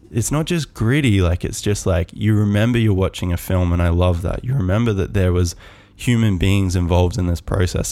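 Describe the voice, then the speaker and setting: A man hosting a podcast, musing on his own in a calm, soft voice, rambling with many fillers and restarts. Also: low voice, no echo. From Australia. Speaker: podcast host. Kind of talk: casual solo musing.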